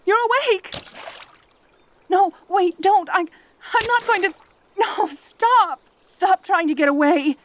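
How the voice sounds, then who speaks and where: A woman playing a lonely, possessive centaur girl in a roleplay audio drama, tender and desperate, with halting, sighing, pleading delivery. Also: extremely high pitch, slight background noise, full character voice. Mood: startled, desperate.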